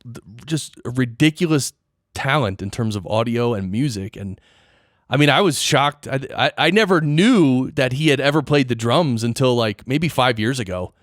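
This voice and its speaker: A man's voice: medium pitch, lively intonation, moderate pace, no echo, studio quality. A man hosting a podcast, chatting casually with his guest in an interview. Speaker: podcast host.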